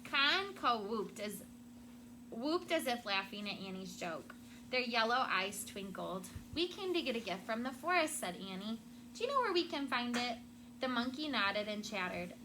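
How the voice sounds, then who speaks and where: A woman teacher reading a story expressively to children and pausing to explain words simply, with noise behind her. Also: medium pitch. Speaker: elementary school teacher. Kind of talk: read-aloud storytelling to children, with questions and vocabulary asides. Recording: loud background noise.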